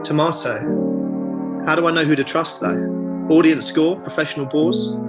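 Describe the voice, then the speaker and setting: A man with a somewhat high voice delivers comic spoken-word verse. He plays wryly with the rhymes as a podcast co-host would. Guitar music sits very loud behind him.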